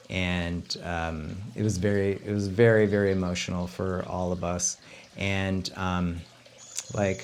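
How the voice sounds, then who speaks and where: A young man who grew up speaking Italian first, chatting casually as a winery owner, with a relaxed manner and frequent ums. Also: on the low side, gravelly, a little hiss or hum. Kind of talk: casual chat to camera.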